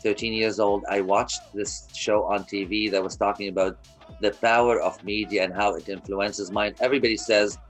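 A man's voice: fairly low pitch, fairly even intonation, moderate pace, faint music behind, low-quality recording. A man in his fifties, from Kuwait, telling his life story as an interview guest, earnest and often restarting his sentences. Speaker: guest, media company founder.

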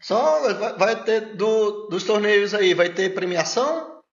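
A Brazilian podcast host with a notably high voice, chatting casually and agreeably. He keeps the talk moving with short interjections. The sound is echoey and dull, like a small room on a lo-fi mic. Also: expressive, slow. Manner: agreeable, chiming in with short replies.

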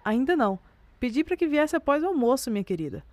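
A woman acts out a motherly Brazilian lady's companion in a period audio drama. Her voice is fairly high, and she fusses affectionately over her charge. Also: sing-song, fast talker, a little mellow. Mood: fussing, fond. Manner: solicitous, affectionate. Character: fussing, motherly female companion to a young lady.

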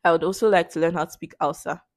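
Young Nigerian woman, podcast host, low-pitched, sarcastic and playful, dry close sound. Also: fairly even intonation, fast, no room sound. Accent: Nigerian English. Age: young adult. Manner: sarcastic, playful, laughs often. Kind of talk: casual solo Q&A podcast.

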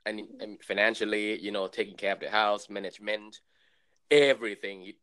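A man in his late twenties, from Thailand, co-hosting a podcast in relaxed chat full of fillers and laughs. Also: on the low side, a little fast. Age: 20s. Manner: loose, laughing, lots of fillers. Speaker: podcast co-host. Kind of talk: casual chat.

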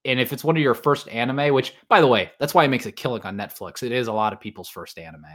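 A man's voice: medium pitch, fast, fairly bright tone. A man hosting a podcast, chatting casually and informally about his hobby, often hedging what he says. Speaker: podcast host. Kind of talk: casual chat about visual novels and anime.

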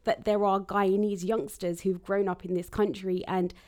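A woman's voice: mid-range voice, little pitch movement, normal speed, darkish tone. Earnest woman born in Trinidad. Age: late 20s to early 30s. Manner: earnest, flowing, emphatic. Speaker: guest, radiographer. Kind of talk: casual podcast chat.

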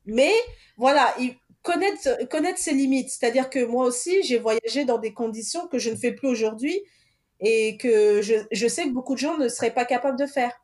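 A woman co-host in casual conversation, calmly explaining travel habits with examples. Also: fairly high pitch, normal speed. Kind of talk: casual podcast chat.

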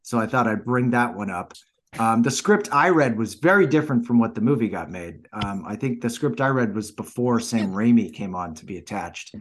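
A man co-hosting a casual film chat, sharing anecdotes haltingly and repeating words as he searches for the next one. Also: lowish voice, lively intonation. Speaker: podcast co-host.

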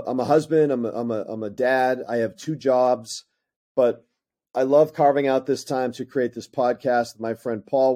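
Teacher guy from up north musing on his podcast, warm mellow tone, stumbles and restarts a lot. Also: medium pitch. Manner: earnest, restarts phrases often. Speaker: podcast co-host, high school English teacher. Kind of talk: reflective monologue.

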